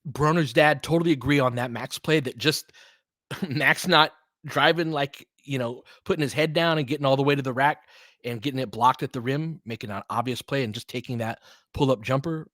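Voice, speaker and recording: A man's voice: mid-range voice, normal speed, fairly bright tone, dry recording, studio quality. A man doing casual live basketball play-by-play and analysis, conversational and openly rooting for his team with exclamations on big plays.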